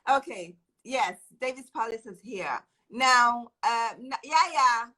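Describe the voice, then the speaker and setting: Bright, effusive woman hosting a live stream. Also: a little high, slow. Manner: effusive, encouraging, repeats phrases. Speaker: host of a live online show. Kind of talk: casual live-stream chat.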